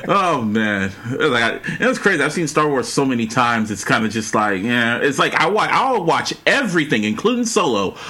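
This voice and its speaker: Animated, husky man chatting. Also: medium pitch, brisk, hardly any pauses. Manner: animated, laughs often, swears freely. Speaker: podcast co-host. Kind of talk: casual chat.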